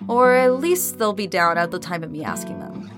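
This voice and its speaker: A woman's voice: mid-range voice, lively intonation, normal speed, music in the background, natural voice. An actress playing a defiant, sarcastic young woman in a band, with flippant, slangy, insistent audio drama dialogue. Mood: insistent, pleading.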